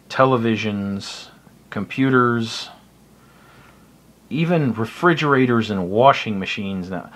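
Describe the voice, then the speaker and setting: An American man presents a hobby tutorial off the cuff. His voice sits at an average male pitch. He explains things in plain terms, hesitating as he finds his words. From United States. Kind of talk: informal unscripted tutorial explanation.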